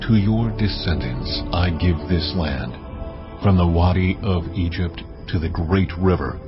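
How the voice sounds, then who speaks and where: An actor voicing God in a dramatized scripture reading, solemn, deliberate and authoritative. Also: male voice, deep voice. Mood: solemn, commanding. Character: God, a solemn, commanding, majestic male divine voice. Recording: slightly dull audio, music behind. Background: background music.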